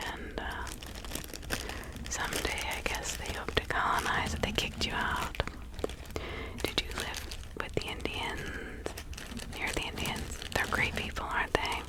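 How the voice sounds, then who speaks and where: A reassuring witch of the 1600s, acted out by a woman in an ASMR role-play. She speaks entirely in a whisper, very slowly and haltingly, with the phrasing of someone guiding a client through a ritual. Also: lightly acted. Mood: musing. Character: reassuring 17th-century witch, a woman counselling an accused client.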